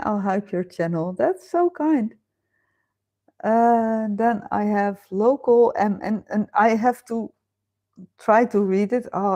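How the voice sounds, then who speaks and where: Slow-talking Dutch woman chatting live. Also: fairly high pitch, a little mellow, dull audio. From Netherlands. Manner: chatty, laughs often, thinks aloud with fillers. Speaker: livestream host. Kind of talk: casual live chat reading viewer comments. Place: home.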